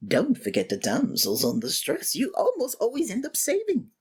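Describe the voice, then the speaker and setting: A woman performing a scripted villain roleplay, breathy and theatrical, exasperated and swinging abruptly between registers. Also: on the high side, wildly swooping pitch, normal speed. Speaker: ASMR voice actor playing a villain.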